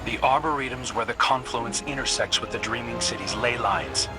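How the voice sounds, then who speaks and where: A man's voice: mid-range voice, moderate pace, natural voice. An actor playing a wry, thoughtful male scout in a video game, casual and dry in delivery. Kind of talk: video game mission dialogue. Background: loud music.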